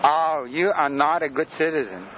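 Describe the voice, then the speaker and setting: A man's voice: a little high, animated, moderate pace, lo-fi sound, a little hiss or hum. Tired, rambling older man from Sudan. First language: Greek and Arabic. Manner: rambling, hesitant, laughs often. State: tired from lack of sleep. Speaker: interviewee. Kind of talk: casual street interview.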